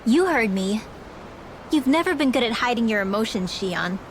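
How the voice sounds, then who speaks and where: A woman's voice: fairly high pitch, expressive, moderate pace, noisy background. An actress playing an earnest, loyal young mage girl in a video game cutscene. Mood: confronting. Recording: music behind the voice.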